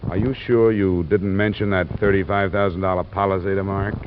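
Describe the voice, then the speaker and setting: An American actor playing a hard-boiled insurance investigator in a vintage radio drama, dry, clipped and matter-of-fact. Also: male voice, low-pitched, flat delivery, fairly quick, background noise, close to an everyday voice. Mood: probing, guarded. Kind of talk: 1940s-50s radio detective acting and narration. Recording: muffled old radio recording with music.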